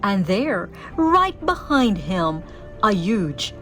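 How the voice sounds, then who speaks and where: A woman giving an expressive, theatrical read-aloud of a rhyming children's story. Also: mid-range voice, slow, soft background music. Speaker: storybook reader.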